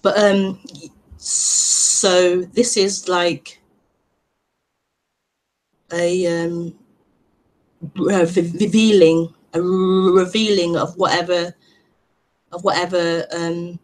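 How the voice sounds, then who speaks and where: A woman with a stutter, hosting an online meeting and giving a gentle, reflective spiritual talk with frequent repetitions and blocks. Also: lowish voice, level tone, extremely slow, pauses often, poor audio. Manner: gentle, reflective, laughs lightly at herself. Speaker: non-duality teacher hosting an online meeting. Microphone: online call broadcast.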